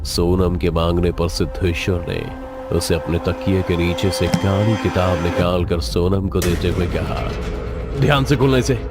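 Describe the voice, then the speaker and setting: Low voiced guy narrating a scripted horror tale, loud music behind him. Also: little pitch movement, measured pace.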